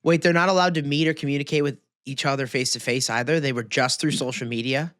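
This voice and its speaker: A man's voice: mid-range voice, steady tone, quick pace, fairly bright tone, smooth, dry recording, clean studio sound. An American man from the Midwest chatting casually as a podcast co-host, often questioning and self-deprecating. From Midwestern United States. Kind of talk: casual chat.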